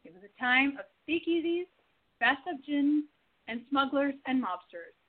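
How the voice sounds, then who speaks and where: Female narrator, reads scene directions, slightly high voice, phone-quality sound. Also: animated, measured pace. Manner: reading stage directions aloud. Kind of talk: scripted radio play narration. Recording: tinny phone-like recording.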